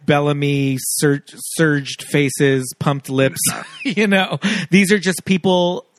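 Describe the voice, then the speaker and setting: A man's voice: on the high side, steady tone, slow. A man, a podcast host, telling stories in a chatty way with many fillers in a casual film chat.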